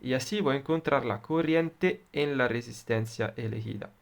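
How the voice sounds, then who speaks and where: Man, Italian accent, native Italian, electronics tutor, gravelly, deliberate and halting. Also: medium pitch. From Italy. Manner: deliberate, halting, many fillers. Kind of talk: explaining circuits at a whiteboard.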